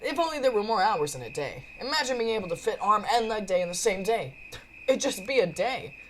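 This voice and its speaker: Woman playing a cocky, animated gym-loving potion maker. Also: medium pitch, fairly quick, a little hiss or hum, lightly acted, poor audio. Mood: excited. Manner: brash, teasing, boastful. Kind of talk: visual novel character dialogue. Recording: faint music behind.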